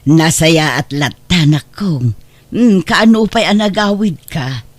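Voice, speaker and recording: A woman performs a confused old homeless woman in a radio drama. She speaks very slowly and gently, her pitch swooping as her wistful words wander. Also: expressive. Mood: gentle, concerned. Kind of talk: Ilocano radio drama acting.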